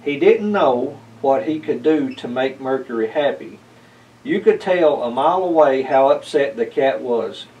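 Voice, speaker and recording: A man in his thirties reading a children's storybook aloud in a measured, expressive way. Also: mid-range voice. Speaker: story reader. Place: indoors, library corner with bookshelves. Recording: picked up from a distance.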